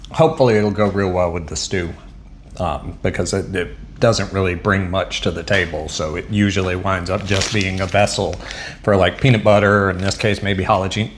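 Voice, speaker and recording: Man, Southern US accent, a bit low, chatty and rambling, faint clicks behind. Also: a little hiss or hum. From Southern United States. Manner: rambling, chatty, self-deprecating, frequent um and uh. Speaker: MRE taste-test vlogger. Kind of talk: casual food review to camera. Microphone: mic set up at a table.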